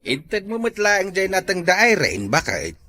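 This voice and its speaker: A man plays an older, disapproving husband in a village comedy drama. He grumbles in a bright, very lively voice. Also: high-pitched, measured pace, exaggerated voice.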